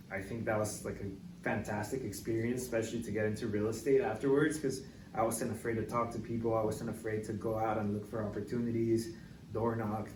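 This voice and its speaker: A young adult man from Mexico City, a real estate agent guest telling his story in a relaxed, casual way, with lots of "like"s. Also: on the low side. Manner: relaxed, filler-heavy storytelling with many "like"s. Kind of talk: casual interview chat. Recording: echoey, distant mic with clear background noise.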